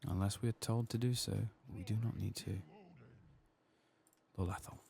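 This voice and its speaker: A man giving soft-spoken ASMR commentary while playing a game, murmuring to himself on a close microphone. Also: on the low side, steady tone, measured pace, creaky. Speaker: gameplay streamer.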